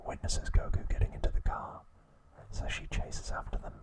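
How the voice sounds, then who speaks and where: Man, soft unvoiced whisper, ASMR host, scripted narration, measured soothing bedtime delivery.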